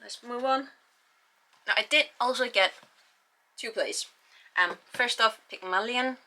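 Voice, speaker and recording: Young Icelandic woman, book vlogger, bright, chatty, rambling delivery. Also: fairly high pitch, measured pace. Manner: rambling, chatty, with frequent sighs and ums. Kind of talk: casual book haul chat.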